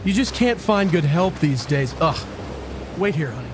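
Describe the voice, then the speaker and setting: Man acting as haughty high-pitched 1980s yuppie husband. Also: animated, normal speed, background noise, lightly acted. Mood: dismissive. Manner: haughty, dismissive. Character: smug 1980s corporate executive husband out jogging. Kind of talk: audio drama acting.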